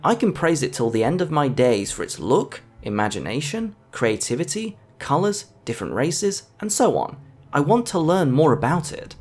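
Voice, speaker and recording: A man's voice: a little high, very animated, moderate pace, darkish tone, slightly rough. A man narrating a scripted film review as the host of a video essay.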